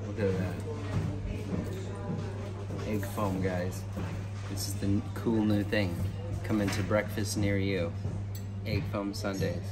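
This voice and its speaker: A man's voice: lowish voice, level tone, slow pace, long pauses. A man in his thirties filming a casual travel vlog with a phone at arm's length, joking playfully as he talks. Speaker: travel vlogger. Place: outdoors in a stone town, then a restaurant. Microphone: handheld phone at arm's length. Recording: music playing underneath.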